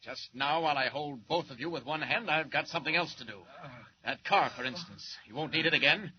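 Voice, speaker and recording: An actor playing a calm, confident male superhero in 1940s radio acting, dryly amused. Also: a little high, fairly quick, close to an everyday voice. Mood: cool. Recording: muffled old radio with hiss.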